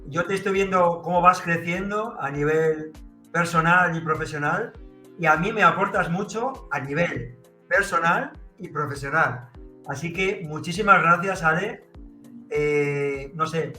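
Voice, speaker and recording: A man's voice: on the high side, a little slow, pushed and bright, soft background music. A man from hosting a casual interview podcast, affirming his guest with frequent hesitant fillers over a video call. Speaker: podcast host and trainer. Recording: roomy echoey call audio.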